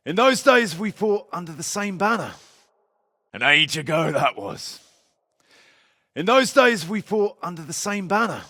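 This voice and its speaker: Game role: gruff medieval mercenary captain, commanding, foul-mouthed, wry; very animated intonation, dry studio sound. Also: male voice, fairly high pitch, no echo, full character voice. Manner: blunt, coarse, commanding, colloquial. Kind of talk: video game character voice acting.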